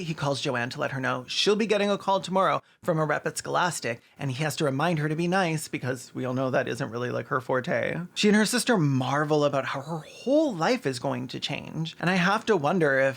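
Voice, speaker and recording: A man's voice: on the high side, gravelly, a bit bright. A man delivering scripted commentary in a sardonic way, with dry asides and crude humor. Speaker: video essayist and host.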